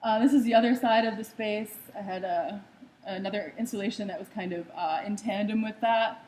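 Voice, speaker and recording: A young American woman walks an audience through her artwork slide by slide. Her voice sits at an ordinary middle pitch, and she keeps a loose, chatty delivery full of small fillers. A faint hiss of noise runs under the recording. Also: a little fast, darkish tone. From United States. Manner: conversational with frequent ums and uhs. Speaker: artist giving a talk. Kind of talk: slide presentation talk.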